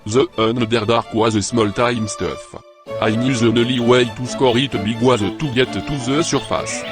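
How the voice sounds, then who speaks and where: A man playing a cocky dwarf fighter, loud music. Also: medium pitch, steady tone, a little slow, natural voice.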